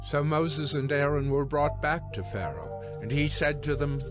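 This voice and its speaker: A man's voice: a little high, normal speed, over music. A man narrating a scripted scripture reading.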